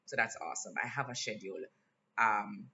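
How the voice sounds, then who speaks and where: Earnest Jamaican man with a bright voice. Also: on the low side, steady tone. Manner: earnest and candid, pausing with fillers. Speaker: vlogger. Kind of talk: casual vlog monologue. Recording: slightly dull lo-fi sound, faint hiss.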